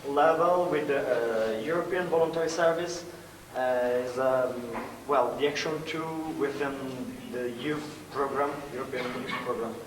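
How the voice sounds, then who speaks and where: Halting man from Italy in an echoey room. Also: medium pitch, recorded from a distance, slight background noise. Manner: halting, with many fillers.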